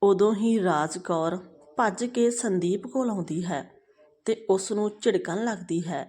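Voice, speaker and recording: A woman's voice: mid-range voice, measured pace, darkish tone. A woman narrating a moral family story as a storyteller.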